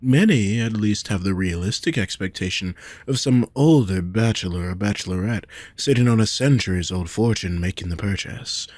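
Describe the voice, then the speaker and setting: A man playing a possessive, lovesick wealthy nobleman in a romantic audio roleplay, speaking formally and deliberately to the listener. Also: on the low side, a little mellow, no room sound. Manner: formal, measured, deliberate phrasing.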